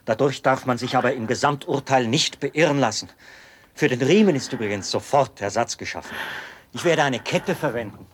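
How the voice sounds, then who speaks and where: An actor playing a zealous, pedantic execution officer in a radio drama, explaining with precise, emphatic delivery. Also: male voice, mid-range voice, moderate pace, a little hiss or hum, lightly acted. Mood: aggrieved, defensive. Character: zealous male execution officer, pedantic, devoted to his machine.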